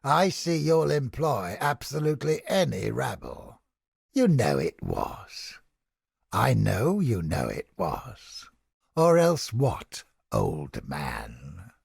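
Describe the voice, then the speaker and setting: A man playing a haughty, creepy mind-reading interrogator in a video game, with a pompous, florid, theatrical delivery and a raspy, gravelly voice. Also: fairly low pitch, wildly swooping pitch, takes it slowly, darkish tone, no echo, studio quality, full character voice. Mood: disgusted, scornful. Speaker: game character. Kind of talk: video game character voice lines.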